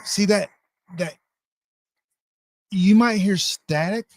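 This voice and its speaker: A man's voice: high voice, slow, dry recording, studio quality. A man giving a casual, hands-on live tutorial in a folksy, informal way, speaking straight to his viewers. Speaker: online class presenter demonstrating radio gear. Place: indoors.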